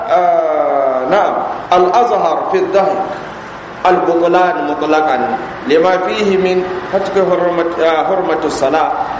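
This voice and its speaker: Hausa-accented man lecturing in an echoey mosque. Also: on the high side. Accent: Nigerian Hausa. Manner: reads Arabic then explains slowly. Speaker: Islamic scholar teaching hadith. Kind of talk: mosque lecture explaining Arabic text in Hausa. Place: large mosque hall. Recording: echoey hall recording with background noise.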